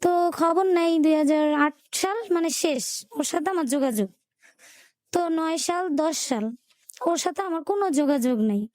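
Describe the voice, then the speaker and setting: A woman with a Bangladeshi Bengali accent telling her life story in an interview, in a colloquial, halting way with repeated filler words. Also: high voice, a little mellow, no room sound, clean studio sound. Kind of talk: personal storytelling in a radio interview.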